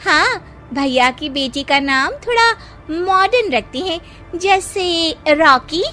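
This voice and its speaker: A woman's voice: extremely high pitch, lively intonation, takes it slowly, bright, forceful tone, faint music behind, heavily acted. A woman voicing an outspoken, touchy woman in a cartoon family squabble, indignant and insistent.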